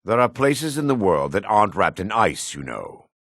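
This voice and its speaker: Low, husky dark elf man. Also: sing-song, no echo, clean studio sound, exaggerated voice. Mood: sardonic. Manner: solemn, measured, theatrical delivery. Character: grave dark elf man, solemn and world-weary. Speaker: video game voice actor. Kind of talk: fantasy video game character dialogue.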